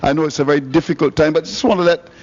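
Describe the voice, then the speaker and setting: A man's voice: fairly high pitch, steady tone, rapid-fire, darkish tone, husky. A man hosting cricket radio, giving on-air thanks with jovial, laughing asides. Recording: dull broadcast audio.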